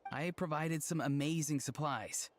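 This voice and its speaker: An actor playing an earnest, mild-mannered warrior in a video game, sincere and measured. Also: medium pitch, close to an everyday voice. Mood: sincere pleased. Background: game music.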